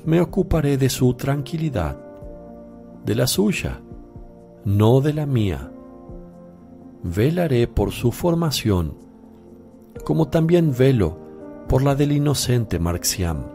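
An actor playing a grave, compassionate and firm holy teacher in a Spanish radio drama, speaking solemnly and deliberately. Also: male voice, medium pitch, big pitch swings, vocal fry, mellow, soft background music, exaggerated voice. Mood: solemn, tender.

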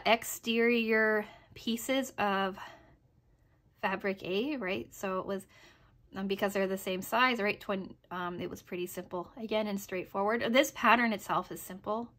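Slightly hoarse woman chatting through a review. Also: mid-range voice, bright, forceful tone. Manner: hesitant, chatty, trailing off and checking with "right?". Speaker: sewing pattern reviewer. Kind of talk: casual review narration over project photos.

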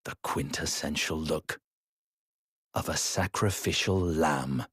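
An actor playing a cold, imperious aristocratic prosecutor in a video game, ominous and disdainful. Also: male voice, rumbling low, slow, a bit bright, heavily acted. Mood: cold menace.

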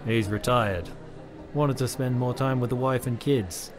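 A man's voice: medium pitch, measured pace, noisy background, lightly acted. A man voicing a bossy, scornful pony agent and stern mentor in a curt audio drama performance.

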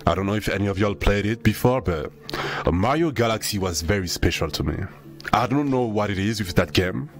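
A man's voice: fairly low pitch, animated, fairly quick, soft background music. A young man from Senegal, raised in France, chatting casually with his stream viewers in a laid-back, slangy way, swearing freely. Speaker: VTuber streamer.

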